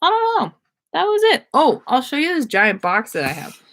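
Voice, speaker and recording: A woman's voice: high-pitched, wildly swooping pitch, normal speed, fairly bright tone. A woman hosting a livestream in casual chat, rambling and effusive, with slangy talk and free swearing. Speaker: podcast host.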